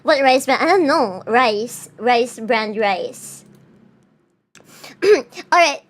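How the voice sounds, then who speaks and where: High-voiced young woman streamer. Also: expressive, slow pace, a bit bright. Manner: chatty, answers chat, self-mocking. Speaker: VTuber streamer. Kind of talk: casual livestream chat. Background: faint background music.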